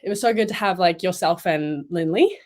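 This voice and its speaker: A woman's voice: fairly low pitch, fairly quick. A young woman from Thailand and Malaysia, chatting casually as a guest in an interview, with lots of fillers. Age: young adult. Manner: chatty, relaxed, many fillers and "like"s. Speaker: guest swimmer.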